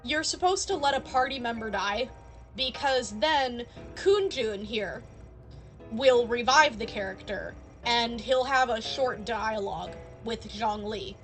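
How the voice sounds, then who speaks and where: A woman's voice: fairly high pitch, measured pace. A woman giving casual live commentary as she streams a video game. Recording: game music behind the voice. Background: video game music and sounds.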